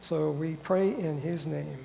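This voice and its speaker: A man's voice: on the high side, fairly even intonation, slow, mellow, slight background noise. Nasal, congested man preaching. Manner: careful, earnest delivery with frequent throat clearing. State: stuffy nose from a head cold. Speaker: guest preacher. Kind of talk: sermon with Bible reading. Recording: long hall reverb.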